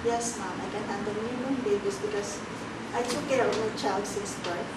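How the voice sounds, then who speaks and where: A woman's voice: mid-range voice, steady tone, normal speed. A woman in her twenties answering as a job applicant in a formal, rehearsed, polite manner. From Philippines. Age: 20s. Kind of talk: mock job interview. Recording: echoey room, distant mic, background noise.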